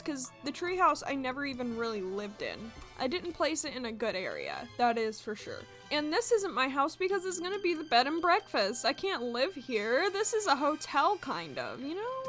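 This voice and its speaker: A young woman with a congested voice, chatting casually and excitedly as she builds in a video game. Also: a little high. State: congested from allergies. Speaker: gaming video creator. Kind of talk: casual gameplay commentary. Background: soft game music.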